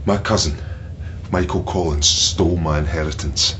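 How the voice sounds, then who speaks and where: A bitter, unstable captor speaks in a very deep male voice. He broods and halts, then presses his grievance like a betrayed soldier. The audio drama sound is muffled, with faint noise behind him. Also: steady tone, a bit bright. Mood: bitter, accusing. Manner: brooding, halting, insistent. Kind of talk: naturalistic audio drama acting.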